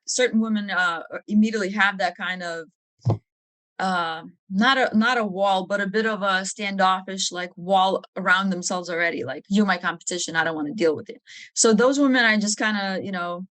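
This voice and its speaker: A woman's voice: mid-range voice, moderate pace, fairly bright tone, no room sound. A woman from Lithuania speaking frankly and informally as an interview guest, with a touch of humor. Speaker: podcast guest, army officer. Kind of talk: candid conversational interview.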